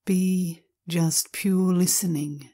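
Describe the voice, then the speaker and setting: A woman leads a guided meditation, reading short phrases in a calm, measured manner with long pauses. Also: on the low side.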